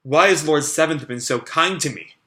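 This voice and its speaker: A man's voice: a little high, moderate pace, bright, forceful tone. A man reading a guarded troubled teen boy.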